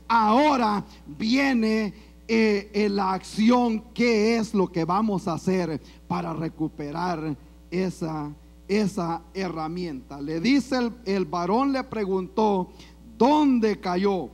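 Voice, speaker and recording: A male preacher with a Salvadoran accent delivers a sermon to his congregation. His voice sits high and he takes his time, stretching out phrases. He sprinkles his message with amens and glory to God. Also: takes it slowly, a little mellow, faint music behind. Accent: Salvadoran Spanish. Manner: preaching with frequent amens and call-and-response. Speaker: pastor. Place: church.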